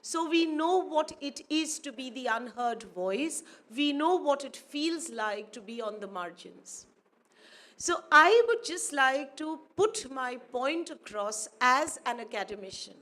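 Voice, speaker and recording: Slow, high-voiced woman giving a speech. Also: a bit bright. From India. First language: Urdu. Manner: measured, formal, repeats phrases for emphasis. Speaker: guest speaker, academic. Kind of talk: formal ceremony speech. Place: university auditorium.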